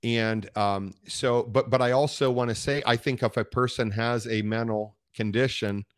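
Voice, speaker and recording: A man speaking as a podcast guest, explaining conversationally in a halting way, often rephrasing. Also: mid-range voice, fairly even intonation, smooth, no room sound, clean studio sound.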